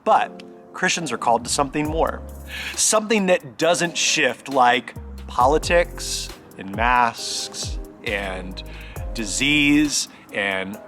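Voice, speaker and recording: A man giving a conversational sermon as a pastor, earnest and emphatic, speaking directly to the listener and pausing deliberately for stress. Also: medium pitch, animated, a bit bright, faint music behind. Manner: exhorting, addressing the listener directly.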